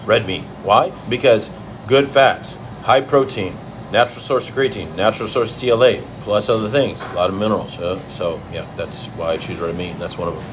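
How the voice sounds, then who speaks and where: Blunt, low-voiced man from Chicago. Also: background noise. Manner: blunt, profane, talks while eating. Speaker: fitness livestream host. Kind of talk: casual livestream Q and A. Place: home.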